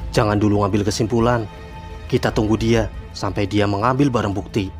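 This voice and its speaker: A man voicing a cautious, determined young amateur sleuth in a cartoon, with measured, casual speech. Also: on the low side, fairly even intonation, lightly acted. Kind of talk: Indonesian cartoon dialogue, colloquial. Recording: music behind the voice.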